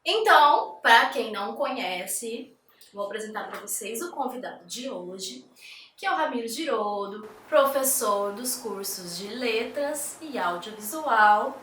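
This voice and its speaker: A woman host with a bright, forceful voice pitched a little high talks in a chatty, jokey way full of fillers. She sits somewhat back from the mic in an echoey room with a faint hum of ambience. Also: far from the mic. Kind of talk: casual interview hosting.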